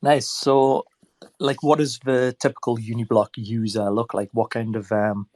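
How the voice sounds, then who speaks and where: A man with a stuffed-up voice from a cold, hosting a casual online chat in a relaxed, rambling way. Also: medium pitch, unhurried, no echo. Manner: relaxed, rambling, filler-heavy. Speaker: host.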